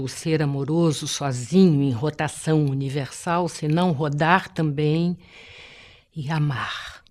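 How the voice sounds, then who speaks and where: A woman reciting a poem slowly and solemnly, with measured, dramatic pauses. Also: low-pitched, a little mellow. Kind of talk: slow solemn poetry recitation.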